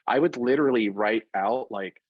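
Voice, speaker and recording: A man being interviewed about his work in fashion, talking in a lively, conversational way and working through examples with frequent restarts. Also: mid-range voice, normal speed, no room sound, narrow, tinny audio. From Puerto Rico. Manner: animated, talks through examples with self-corrections. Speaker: interview guest, fashion product executive.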